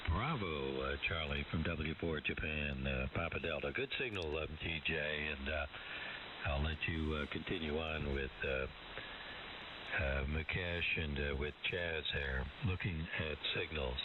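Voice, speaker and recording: Very deep man on a distant tinny radio. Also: noisy background. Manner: halting, slow, many pauses. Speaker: amateur radio operator. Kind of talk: casual radio chat.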